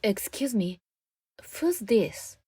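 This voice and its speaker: A woman's voice: on the high side, big pitch swings, takes it slowly, warm tone, slightly rough, no room sound, studio quality, exaggerated voice. An actress playing an earnest, naive young woman investigator in a radio drama, with a hesitant way of talking. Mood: puzzled, hesitant.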